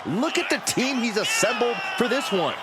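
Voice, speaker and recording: A man calling wrestling as an excited play-by-play commentator. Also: very high voice, moderate pace. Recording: loud arena crowd and noise. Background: roaring crowd.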